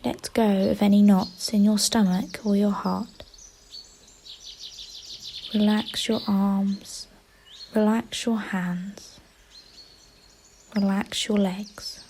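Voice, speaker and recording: Slow, mellow woman guiding a meditation. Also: medium pitch, little pitch movement, a little hiss or hum. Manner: gentle, unhurried, pausing between short instructions. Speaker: guided meditation leader. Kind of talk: guided meditation. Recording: faint outdoor ambience with birdsong. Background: birdsong.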